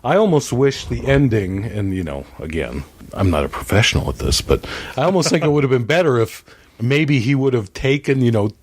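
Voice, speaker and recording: A man's voice: medium pitch, animated, fairly quick, slightly rough. A man speaking as a podcast guest, chatting casually about films in a joking, self-deprecating way. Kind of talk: casual film chat. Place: his home theater.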